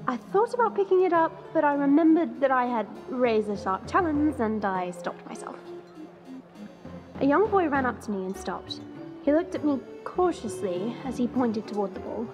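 A woman's voice: sits high, expressive, moderate pace, over music. A woman playing a proud, sardonic young dragon woman who narrates an audio drama in a chatty, confiding way. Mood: wry confiding. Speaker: narrator and lead character.

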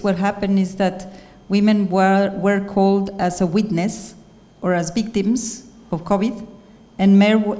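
A woman's voice: medium pitch, dark tone. A woman moderating a stage panel, her voice carrying with a long hall reverb. She speaks enthusiastically but hesitates often, stringing her questions together through many fillers. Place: theater stage at a panel event. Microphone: handheld mic.

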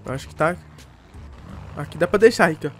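A man talking in a casual way as he plays a roleplay game. He has a cold and throws in street slang and quick shouts. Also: fairly high pitch, animated, slight background noise. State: congested with a cold. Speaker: roleplay streamer. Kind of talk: casual gameplay chat. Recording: music playing behind the voice.

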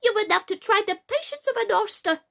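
A woman's voice: very high-pitched, lively intonation, fairly quick, gravelly, pushed and bright, voice pushed up, heavily acted. A woman voicing an impudent young crab daughter in a storybook, with a pert, snappy manner. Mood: snappish. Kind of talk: children's storybook character reading.

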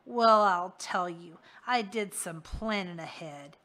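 A woman's voice: fairly low pitch, slow, pushed and bright. A woman doing a leering, boastful henchman. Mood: taunting. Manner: taunting, wheedling. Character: leering, cocky male henchman, sly and boastful.

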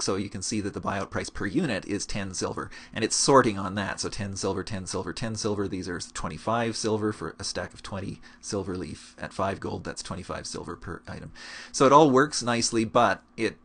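A man with a fairly low voice talks through an on-screen demo. His delivery is relaxed and explanatory, with plenty of small hesitations as he clicks around. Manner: relaxed, explanatory, with many fillers. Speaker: game gold-making guide. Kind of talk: screencast walkthrough.

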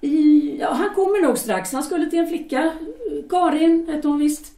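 A woman's voice: sits high, mellow, reverberant, far from the mic, lightly acted. An actress playing a firm, harried young wife and mother who speaks insistently. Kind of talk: audio drama acting.

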